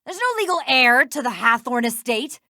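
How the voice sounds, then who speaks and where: A smug, unrepentant villainess from a mystery game. Her voice runs high and swings widely, haughty and indignant, in a dry close recording. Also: very animated, normal speed, pushed and bright, no echo, heavily acted. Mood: defiant, indignant. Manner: haughty, indignant, self-righteous. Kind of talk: video game voice acting.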